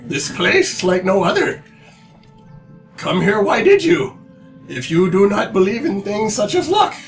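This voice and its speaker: A man's voice: high voice, sing-song, unhurried, far from the mic, faint music behind, voice pushed up, heavily acted. A man voicing a teasing, cryptic shrine spirit who speaks in stilted, theatrical, backwards phrasing for an amateur story readalong. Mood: mysterious, playful. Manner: stilted inverted syntax, theatrical.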